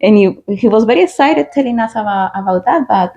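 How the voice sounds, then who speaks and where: A woman's voice: on the high side, animated, fairly quick, dark tone. A woman from Colombia hosting a casual chat, talking with many fillers. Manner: chatty, frequent "like" fillers. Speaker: podcast host.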